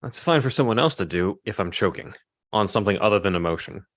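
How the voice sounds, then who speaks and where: A man acting a guarded, awkward young romantic lead in an understated, deadpan way at a table read. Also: fairly low pitch, fast talker, dry recording, studio quality.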